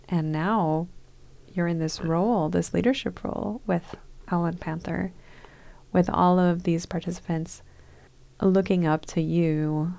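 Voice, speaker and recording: A woman hosting a radio arts program from a studio. She reads her introductions and puts her questions calmly and deliberately. Her voice sits somewhat low, with a warm, mellow tone. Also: vocal fry. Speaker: radio host. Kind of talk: scripted intro and studio interview.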